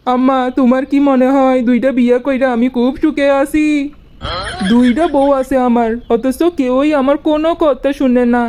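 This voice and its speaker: High-pitched, harried cartoon husband voiced by a woman, Bangladeshi. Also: fairly even intonation, moderate pace, dark tone, slight background noise, lightly acted. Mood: pleading. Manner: coaxing, complaining. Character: harried, pleading husband of two quarrelling wives. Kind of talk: comic cartoon dialogue.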